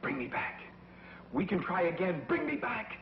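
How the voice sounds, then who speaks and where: A man's voice: high-pitched, big pitch swings, moderate pace, slight background noise. An actor playing a dazed, captured male enemy agent who pleads with someone unseen, from a 1950s TV series. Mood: pleading. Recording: dull 1950s TV soundtrack, echoey reverb.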